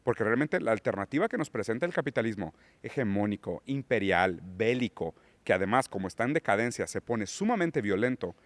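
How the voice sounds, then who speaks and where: A man's voice: on the low side, expressive, brisk, few pauses, no room sound. Lecturing man explaining political theory. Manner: lecturing, theoretical, with rising emphasis. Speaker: podcast guest. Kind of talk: political conversation.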